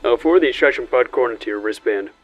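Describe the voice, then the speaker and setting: An actor playing a calm, calculating, authoritative administrator in a sci-fi audio drama, speaking formally and deliberately. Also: male voice, mid-range voice, dry recording, faint music behind, close to an everyday voice, poor audio. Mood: commanding, approving.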